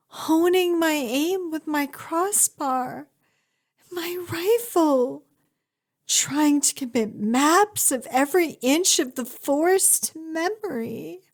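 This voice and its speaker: A woman playing a devoted young huntress in an intimate, emotional fantasy ASMR roleplay, speaking straight to the listener. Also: very high-pitched. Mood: relieved, emotional. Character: fierce but tender young huntress reunited with her lost friend.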